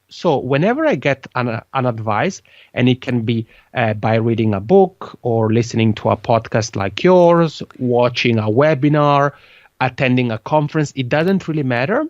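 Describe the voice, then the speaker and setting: A man from the Italian-speaking part of Switzerland with a Swiss Italian accent and Italian as his first language, a guest on a podcast. He talks in a lively way, repeating words and restarting phrases often. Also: mid-range voice, unhurried, no room sound, muffled recording. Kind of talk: conversational interview.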